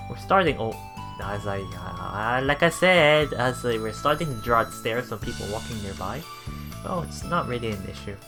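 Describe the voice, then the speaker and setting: A man hosting a let's play, reading visual novel text aloud haltingly, with casual asides. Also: mid-range voice. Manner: halting, stumbles and repeats words while reading.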